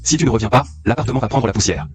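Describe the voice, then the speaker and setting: A man's voice: mid-range voice, sing-song, racing, over music, close to an everyday voice. Man dubbing a cold commanding CEO. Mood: longing. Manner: curt, commanding orders. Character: cold commanding young male CEO, lovesick. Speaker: cold company boss. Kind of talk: dubbed drama acting.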